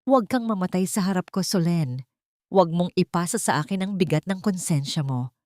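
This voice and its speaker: A woman's voice: fairly low pitch, animated, a little fast, a little mellow, no echo, studio quality, close to an everyday voice. A woman voicing an embittered, commanding man with buried tenderness in an audiobook drama. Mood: anguished. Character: embittered wheelchair-bound man, frightened and softening.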